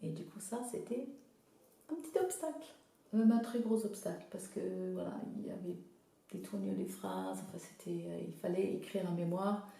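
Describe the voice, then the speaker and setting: Woman from Zimbabwe, English-native, art therapist; dark gravelly tone, halting delivery, roomy echo. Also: mid-range voice. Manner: hesitant, searching for words, many fillers. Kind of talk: casual interview. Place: studio workshop.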